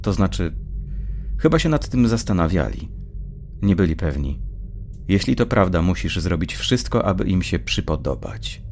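A man voicing an eager, earnest teenage girl, a caring sister who talks persuasively, in a dark audio story. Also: deep voice, big pitch swings, moderate pace, slight background noise. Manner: pleading, keen, persuasive.

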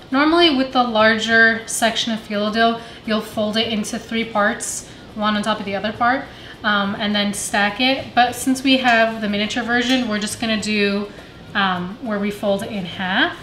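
A woman calmly explaining a dessert recipe step by step in a casual home cooking video. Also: mid-range voice. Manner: calm step-by-step explaining with fillers. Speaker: home cook guest. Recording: echoey room, faint music.

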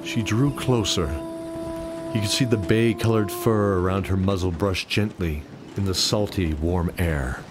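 A man's voice: sits low, measured pace, music in the background. A man reading scripted dramatic narration as the narrator of a fantasy story.

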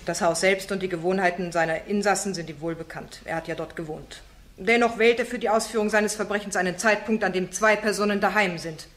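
An actress playing an ironic, insistent defence barrister in a radio play, speaking formally and rhetorically. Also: female voice, medium pitch, fairly even intonation, fairly quick, lightly acted. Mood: impassioned pleading. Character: sharp, eloquent female defence barrister, probing and ironic. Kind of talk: German radio play acting.

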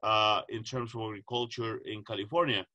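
Middle-aged man from Costa Rica, panelist on a video call, bright tense tone, earnest and halting. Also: lowish voice, fairly even intonation. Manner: thoughtful, many "uh" fillers, earnest. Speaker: panelist, agricultural economist. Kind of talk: casual panel answer. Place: home, video call with virtual background. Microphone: webcam or laptop mic on video call.

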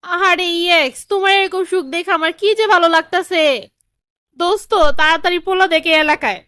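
Cartoon ex-girlfriend woman actor: extremely high pitch, Bangladeshi accent, smug, gossipy, sugary sarcasm. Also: fairly even intonation, fairly quick, sharp tone, no echo, clean studio sound. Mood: smug, mocking. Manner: gossipy, mock-sweet sarcasm. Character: gleeful, gossipy jilted young ex-girlfriend, smugly sarcastic. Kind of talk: comic cartoon dubbing.

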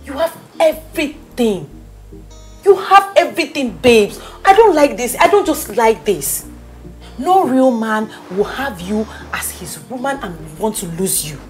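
A woman's voice: fairly high pitch, very animated, measured pace, darkish tone, faint music behind. A woman with a Nigerian accent acting a bossy, loyal young friend in a drama, speaking emphatically with Pidgin slang. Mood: firm, consoling. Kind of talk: naturalistic film drama acting.

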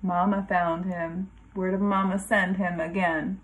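A woman kindergarten teacher reading a rhyming picture book aloud to young children in a sing-song way, pausing dramatically before rhymes and asking questions. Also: on the low side, flat delivery, low-quality recording.

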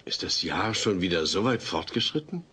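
A man's voice: sits low, very animated, moderate pace, sharp tone, husky, exaggerated voice. An actor playing an earnest, middle-aged family father, hesitant, formal and long-suffering, in a sitcom audio play. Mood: evasive. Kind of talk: German-dubbed sitcom audio play acting. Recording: noisy old cassette audio play.

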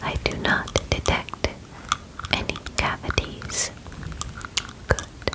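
A woman in her twenties playing a calm, clinical alien examiner in an ASMR roleplay, speaking formally and methodically close to the microphone. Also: lightly acted, low-quality recording. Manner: slow, formal, gently methodical, precise wording. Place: small bedroom, indoors. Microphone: close microphone in front of camera.

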